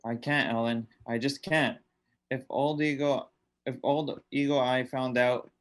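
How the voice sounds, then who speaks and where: A man's voice: mid-range voice, steady tone, unhurried, close to an everyday voice. A man playing a wry, wisecracking, resigned husband in a radio drama, with a sardonic, joking delivery.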